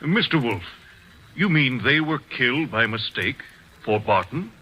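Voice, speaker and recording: A man's voice: medium pitch, very animated, a little slow, dull audio. An actor playing an earnest, concerned man in old radio drama. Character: worried, earnest middle-aged man. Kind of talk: 1940s radio acting.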